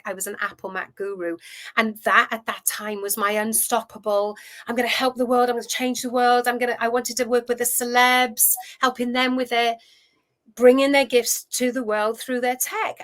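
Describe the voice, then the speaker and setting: A Welsh woman in her fifties, a coach telling her career story in a chatty, animated way as an interview guest. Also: fairly high pitch, moderate pace. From Wales. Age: 50s. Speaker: guest, food relationship coach. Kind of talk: casual interview chat.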